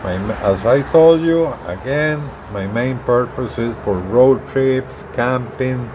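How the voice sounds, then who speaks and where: A man giving a casual product demonstration as a video presenter, talking haltingly and repeating words as he explains. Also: mid-range voice, background noise. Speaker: hobbyist video presenter, truck driver.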